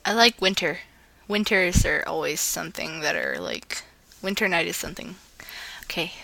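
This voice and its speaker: A woman with a bright, sharp-edged voice hosts a casual reading video. She sounds informal and giggly, moving between loose vlog chat and amateur read-aloud storytelling. Words sometimes trip her up as she goes. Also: fairly low pitch, big pitch swings, normal speed, creaky, slight background noise, poor audio. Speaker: host of a fan fiction reading video.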